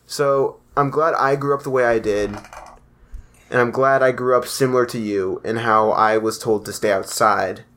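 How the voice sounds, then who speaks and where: A young man hosts a podcast in easygoing conversation. He rambles a little, circling back and restarting his thoughts as he goes. His voice sits at an ordinary middle pitch. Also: fairly even intonation, normal speed, a bit bright. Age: young adult. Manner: chatty, rambling, loose fillers and restarts. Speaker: podcast host. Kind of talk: casual podcast chat.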